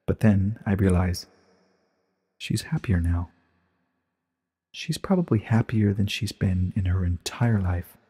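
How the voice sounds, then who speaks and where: An ancient pony princess, acted by a man, low and very husky. She speaks with solemn, weary regret in a measured storybook confession, soaked in long reverb. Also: warm tone, heavily acted. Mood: wistful regret. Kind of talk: dramatic storybook reading.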